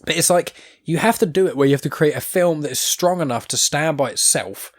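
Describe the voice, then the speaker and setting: A man chatting on a podcast, rambling and animated, with quick asides and casual swearing. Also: fairly high pitch. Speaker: podcast co-host. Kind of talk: casual chat.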